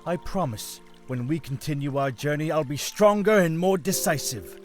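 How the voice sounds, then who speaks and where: A man's voice: fairly high pitch, moderate pace, a little mellow, lightly acted. A man voicing a young, earnest fish-folk prince in an audio drama, speaking formally. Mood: resolved. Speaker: voice actor. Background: music.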